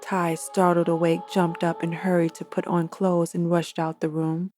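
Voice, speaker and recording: Mellow-voiced woman narrating a dark fantasy. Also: on the low side, moderate pace, soft background music. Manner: steady, expressive reading. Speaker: author and narrator. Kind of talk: dramatic storybook narration.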